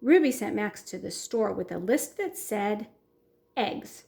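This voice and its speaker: A woman hosting a children's story time and reading a picture book aloud in an expressive way. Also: medium pitch, a little slow, gravelly. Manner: warm, expressive storytelling for kids.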